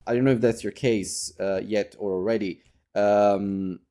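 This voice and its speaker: A man hosting a casual podcast interview, speaking in a hesitant, halting way. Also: on the low side, steady tone, warm tone. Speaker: podcast host.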